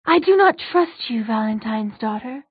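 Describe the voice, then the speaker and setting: Woman playing a haughty, high-voiced fairy queen. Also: lively intonation, moderate pace, slightly rough, no echo, phone-quality sound. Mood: cold, haughty. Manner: imperious, formal, gloating.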